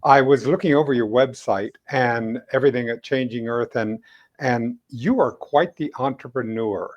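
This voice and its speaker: A man hosting an informal conversation, feeling his way haltingly into questions, on a slightly muffled recording. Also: mid-range voice. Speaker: host. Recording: dull, band-limited sound.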